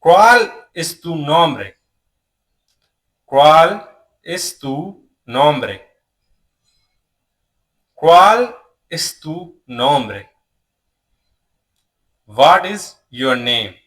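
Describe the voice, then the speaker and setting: A man's voice: a little high, very animated, extremely slow, pauses often, fairly bright tone. A young man teaching beginner Spanish, who says each phrase several times with care and then gives its meaning in English. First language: not Spanish. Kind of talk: slow beginner language lesson. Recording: voice a bit far from the mic.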